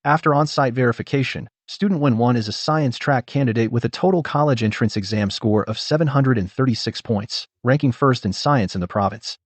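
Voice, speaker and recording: An actor as a supportive male teacher with a husky, medium-pitched voice. He speaks in a measured, reassuring drama style, recorded very dry. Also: dry recording, natural voice. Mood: formal. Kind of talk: dubbed radio drama acting.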